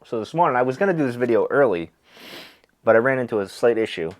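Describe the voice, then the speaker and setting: A man's voice: mid-range voice, expressive, fast talker. A man with a stuffy, nasal voice, chatting casually with lots of fillers and restarts. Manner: rambling, easygoing, many fillers and restarts. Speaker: pipe smoking video host. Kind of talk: casual chat.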